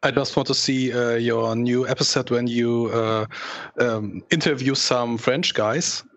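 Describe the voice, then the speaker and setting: A man with a German accent, a guest in a casual chat, halting and searching for words. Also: medium pitch, fairly even intonation, a bit bright, no echo. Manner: halting, many ums and uhs, searching for words. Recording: dull lo-fi dry mic.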